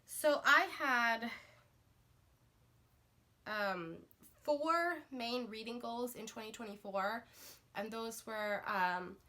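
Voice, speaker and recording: A woman's voice: on the high side, slow, long pauses, pushed and bright. A woman book vlogger getting over a cold, chatting to camera in a rambling way with lots of fillers and restarts. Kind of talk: casual chat to camera.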